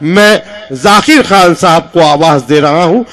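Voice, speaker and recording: A man's voice: on the high side, a little slow, fairly bright tone. A man hosting a poetry evening, announcing poets formally and calling on the crowd to applaud. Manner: formal introductions and rousing calls for applause. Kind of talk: stage announcing.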